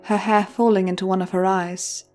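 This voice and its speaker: A woman's voice: mid-range voice, level tone, normal speed, a little mellow. Woman narrating a story over music.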